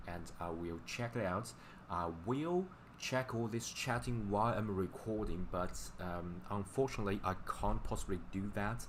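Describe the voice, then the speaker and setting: A man who is a native Chinese speaker chats casually on a livestream as a language teacher, speaking in a halting, repetitive way over noisy audio. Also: deep voice. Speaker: language teacher live-streamer.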